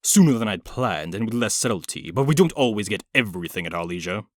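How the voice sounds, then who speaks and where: A man playing a cold, commanding middle-aged villain in a comic dub, haughty and measured. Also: lowish voice, lively intonation, dry recording, clean studio sound, lightly acted. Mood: calculating, grim. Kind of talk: dramatic comic dub acting. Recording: music behind the voice.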